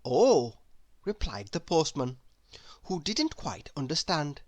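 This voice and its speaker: Female children's storybook narrator; low register, extremely lively intonation, expressive read-aloud delivery. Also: measured pace.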